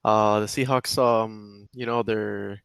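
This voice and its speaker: A man in his twenties co-hosting a podcast, chatting casually and relaxed about sports bets. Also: lowish voice, takes it slowly, vocal fry, smooth, no room sound, clean studio sound. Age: 20s. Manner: relaxed, laid-back, lots of fillers. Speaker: podcast co-host.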